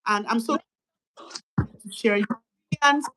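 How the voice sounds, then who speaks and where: A woman's voice: fairly high pitch, slow pace, a bit bright, low-quality recording. A woman hosting an interview over a video call, enthusiastic and welcoming toward her guest. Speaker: host.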